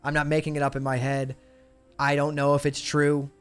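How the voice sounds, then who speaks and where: A man's voice: a little high, completely flat delivery, normal speed, a bit bright, clean tone, soft background music. A man in young adulthood, chatting casually with his live stream viewers as he loses his voice. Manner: laid-back, chatty, talks to chat. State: losing his voice.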